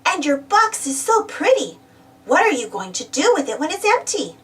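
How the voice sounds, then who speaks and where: A woman's voice: sits high, very animated, moderate pace, a bit bright, recorded from a distance, voice pushed up. A woman voicing a friendly, enthusiastic young female puppy, sweet and admiring, in a storybook.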